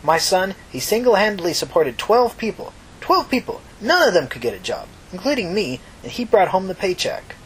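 A man's voice: sits high, very animated, moderate pace, hardly any pauses, a bit bright, a little hiss or hum, voice pushed up, rough recording. A man voicing a tired, solemn, talkative old woman with a folksy, earnest manner in a fiction reading. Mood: reminiscing, emphatic.

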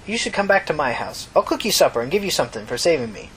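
A man's voice: on the high side, very animated, quick pace, fairly bright tone, slight background noise, poor audio. A man voicing a tired, solemn, talkative old woman with a folksy, earnest manner in a fiction reading. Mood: insistent, kindly.

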